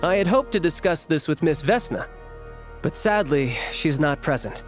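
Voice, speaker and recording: A confident young man in a video game, played by a male actor talks in a fairly high, animated voice. He sounds breezy, self-assured and persuasive, over faint music. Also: normal speed, close to an everyday voice.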